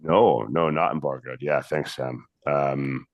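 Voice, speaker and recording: An American man talking casually on a video call about his distillery, relaxed and halting with many fillers. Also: a bass voice, dry recording, lo-fi sound. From United States. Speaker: distillery representative.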